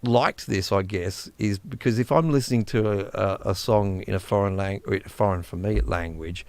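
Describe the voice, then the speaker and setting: A man hosting a casual live music-production stream, chatty and quick to laugh. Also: lowish voice, no room sound. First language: English. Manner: chatty, laughing, restarts, lively. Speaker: host.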